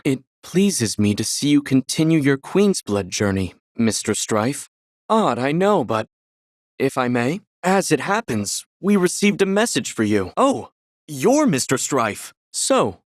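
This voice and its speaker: An actor playing a courteous, encouraging tournament clerk in a video game, formal and articulate. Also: male voice, medium pitch, dry recording, studio quality. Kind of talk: scripted video game dialogue.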